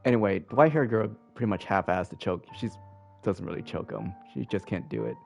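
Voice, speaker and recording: A man's voice: on the low side, expressive, fairly quick, gravelly, faint music behind. Laid-back man recapping a game. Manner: laid-back, rambling with fillers. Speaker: let's play host. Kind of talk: casual game recap commentary.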